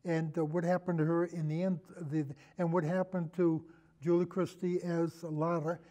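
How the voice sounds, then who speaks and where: An older man with a notably high-pitched voice and a mellow, relaxed tone. He talks as a guest in a casual film discussion, wandering and halting as he goes. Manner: rambling, halting, trails into et cetera. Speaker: podcast guest, longtime radio show host.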